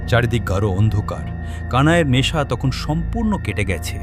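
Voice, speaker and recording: A man narrating a scripted horror story in a dramatic, suspenseful, expressive way. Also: mid-range voice, moderate pace. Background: suspense music, cricket sounds.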